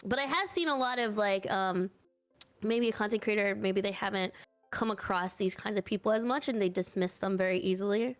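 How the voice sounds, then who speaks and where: A woman's voice: medium pitch, fairly quick, soft background music. A young woman, a streamer guest, talking thoughtfully and supportively in a casual chat. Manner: thoughtful, supportive, many fillers.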